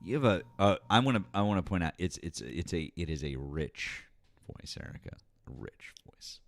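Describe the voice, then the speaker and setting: Give me a blunt, profane male talk host with a low voice. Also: big pitch swings, normal speed, creaky, no room sound. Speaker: show host. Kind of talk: casual live call-in talk.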